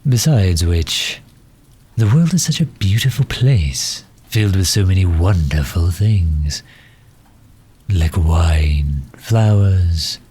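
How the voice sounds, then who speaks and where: Man playing a low, husky, pompous gentleman villain. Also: animated, heavily acted. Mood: wistful, fond. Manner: condescending, wordy, hesitant pauses. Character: pompous, pedantic, affable gentleman supervillain, fond of his hero. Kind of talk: ASMR roleplay audio drama.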